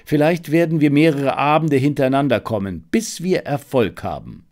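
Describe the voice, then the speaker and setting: Self-assured gentleman detective, read by a man, animated. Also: mid-range voice, moderate pace, close to an everyday voice. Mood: lively, commanding. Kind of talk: audiobook character dialogue.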